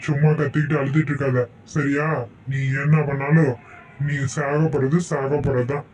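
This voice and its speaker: A man's voice: medium pitch, level tone, moderate pace, a little mellow, recorded from a distance, slight background noise, lightly acted. A man voicing a greedy, boastful male eagle in a cartoon, talking in a gloating, threatening way. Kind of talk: cartoon voice acting.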